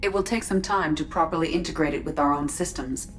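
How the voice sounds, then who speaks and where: A calm, exacting starship computer, voiced by a woman, every word precise and even. The voice sits low for a woman. Faint game music hums behind it. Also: fast talker, natural voice. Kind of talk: video game cutscene acting. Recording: game audio with score.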